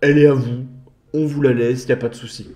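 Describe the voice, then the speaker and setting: A man's voice: medium pitch, steady tone, quick pace, warm tone, faint music behind. A man hosting a commentary channel, talking over video clips in a sarcastic, mocking voice-over.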